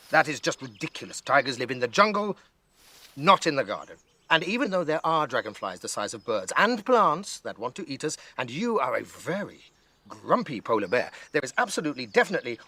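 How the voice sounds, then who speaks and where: Guy being a sceptical little kid girl in a storybook, very emphatic, bright n expressive. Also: mid-range voice, dry recording, pitched above the performer's own voice. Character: stubborn, sceptical, easily bored little girl.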